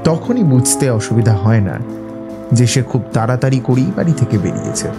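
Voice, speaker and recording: A man's voice: medium pitch, big pitch swings, normal speed. A man playing a self-assured, analytical detective in an audio drama, explaining things in an assured, instructive way. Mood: confident, explanatory. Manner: assured, didactic, explaining deductions step by step. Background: prominent background music.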